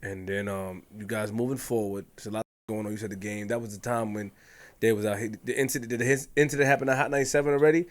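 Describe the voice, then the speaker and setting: A man's voice: lowish voice, steady tone, fast talker. A man hosting a podcast, asking probing, rambling questions in a casual interview. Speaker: podcast host.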